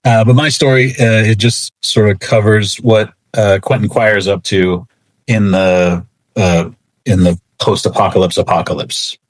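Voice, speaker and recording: A man's voice: lowish voice, fairly even intonation, measured pace, dry recording, low-quality recording. A man speaking as an interview guest, explaining things in a casual, informal and rambling way. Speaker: interview guest, comics writer.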